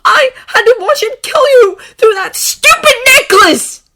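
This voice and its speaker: Lady narrator doing a furious heartbroken young guy, really high tearful yelling, storybook drama. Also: animated, measured pace, exaggerated voice. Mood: anguished fury. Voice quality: shrill, tearful shouting. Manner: emotional, forceful outbursts. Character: anguished, furious young man, betrayed and tearful. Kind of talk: dramatic audiobook character acting.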